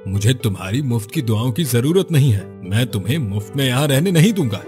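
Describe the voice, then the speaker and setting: A haughty, grasping male innkeeper from a village, acted by a man. He talks coldly and dismissively in a mid-pitched voice, in a cartoon moral tale with faint music underneath. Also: animated, fast talker, darkish tone, natural voice. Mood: cold, scornful. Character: greedy, arrogant male village hotel owner, cold and dismissive. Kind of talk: cartoon moral story dialogue.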